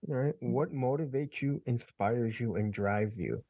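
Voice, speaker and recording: A male interviewer asks short, direct questions in a mid-pitched voice. His tone is mellow and relaxed. The recording is dry. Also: measured pace, no room sound.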